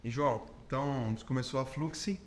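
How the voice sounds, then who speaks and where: A man's voice: mid-range voice, fast talker, slight background noise. A Brazilian man hosting a podcast interview who lays out his views and checks in with his guest as he goes. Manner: explanatory, ends points with "entendeu?". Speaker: podcast host.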